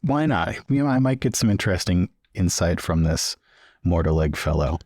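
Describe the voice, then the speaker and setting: A man's voice: sits low, lively intonation, moderate pace, a little mellow, no echo, studio quality. A man improvising casual in-character dialogue as a player in a tabletop roleplaying game.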